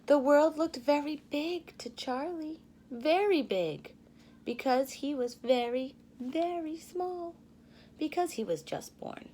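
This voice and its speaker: Slow, very expressive woman reading a storybook. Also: high-pitched, slight background noise. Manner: expressive, pausing to explain and ask questions. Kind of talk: children's storybook read-aloud.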